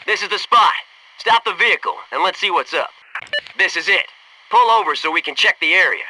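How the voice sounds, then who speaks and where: Brash, high-pitched American commando. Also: male voice, lively intonation, a little fast, far from the mic, background noise. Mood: urgent, businesslike. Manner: gung-ho, clipped military talk with wry slang. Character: brash wisecracking American military commando, eager for demolition. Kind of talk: video game voice acting, tactical battlefield barks.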